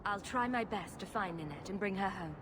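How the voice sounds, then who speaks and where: A woman's voice: medium pitch, lively intonation, fairly quick, noisy background, close to an everyday voice. A woman voicing a witty, sarcastic young rogue adventurer in a video game, wry and confident. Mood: businesslike. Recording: music behind the voice.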